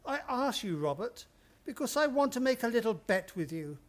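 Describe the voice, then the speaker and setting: A man's voice: extremely high pitch, very animated, normal speed. A man playing a smug, wealthy older stepfather in a radio play, genteel, drawling and needling. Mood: taunting.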